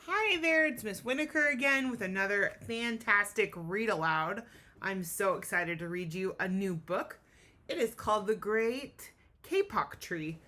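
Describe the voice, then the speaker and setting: A woman with a mid-range voice speaks as a teacher reading to children. Her delivery is enthusiastic and expressive, in the style of a storybook read-aloud. She addresses young listeners directly and explains as she goes. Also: medium pitch.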